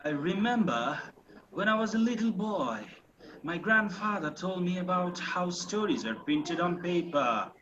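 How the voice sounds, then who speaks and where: A man reading the part of an elderly grandfather recalling his childhood, slow and soft. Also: high-pitched, lively intonation, highs cut off, slight background noise. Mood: nostalgic. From Bangladesh.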